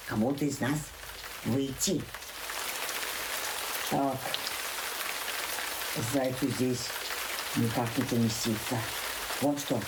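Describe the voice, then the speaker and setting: A woman voicing a gruff, steady male ant who grumbles in a low, unhurried voice. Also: background noise, heavily acted.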